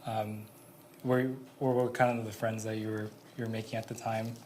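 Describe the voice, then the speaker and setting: A young adult man hosting a casual interview, asking questions and giving frequent soft acknowledgements. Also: lowish voice, normal speed, slight background noise. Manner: flat-voiced, frequent soft backchannels. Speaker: interviewer, podcast host.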